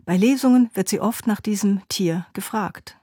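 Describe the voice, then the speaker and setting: Breathy woman narrating a radio feature. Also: medium pitch, moderate pace, dark tone, no echo, studio quality. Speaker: radio presenter.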